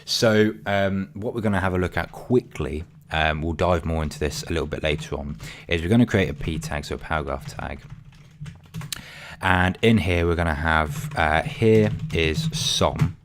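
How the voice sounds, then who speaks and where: A man, voice getting croaky, talking through a coding tutorial casually with many ums and apologies. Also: low-pitched, normal speed, a little hiss or hum. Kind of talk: screen-recorded coding tutorial.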